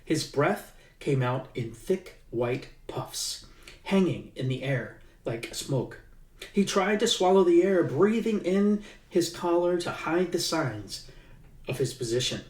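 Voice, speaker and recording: A man, an author, reading his own novel aloud in an unhurried way, pausing with fillers as he chats and leaning into the tense passages. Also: a little high, lively intonation, darkish tone. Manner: unhurried, with halting fillers in the chat and livelier emphasis in the reading. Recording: echoey room, mic at a distance.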